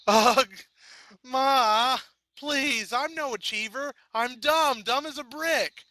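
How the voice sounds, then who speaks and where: Guy doing a squeaky slangy tomboy pony, freaking out, stammers a lot. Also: takes it slowly, sharp tone, dry recording, full character voice. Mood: whiny. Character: brash tomboyish young mare DJ, panicky.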